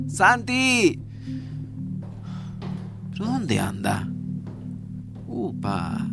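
An actor playing an excitable, anxious time traveller in an audio drama, with an Argentine accent and a dramatic, easily flustered way of talking. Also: male voice, medium pitch, full character voice. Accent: Argentine Rioplatense. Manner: dramatic, exclaiming, stammering when flustered. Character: excitable, anxious time-travelling man, close friend of Santi.

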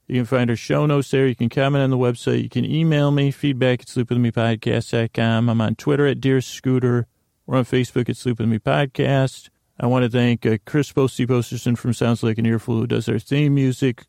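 Creaky-voiced man rambling on a podcast. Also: mid-range voice, a little mellow, no echo, studio quality. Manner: meandering, self-deprecating, full of tangents. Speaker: sleep podcast host. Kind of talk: rambling monologue.

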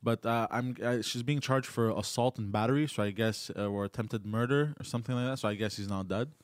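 A man co-hosting a podcast, bringing up news topics in casual chat, halting and often repeating words as he talks. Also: mid-range voice, no room sound, clean studio sound. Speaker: podcast co-host.

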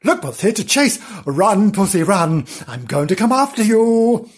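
A menacing male storybook dog, acted out by an adult reader, who barks out taunting threats. The voice is husky and drawn out. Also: medium pitch, very animated, unhurried, voice pushed up. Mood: barking threats. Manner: barking, taunting. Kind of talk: children's storybook villain voice.

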